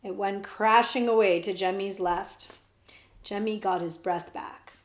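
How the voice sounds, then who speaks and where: A woman librarian reading a children's book aloud with lively, expressive narration. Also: medium pitch, unhurried. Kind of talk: storybook read-aloud. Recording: echoey room.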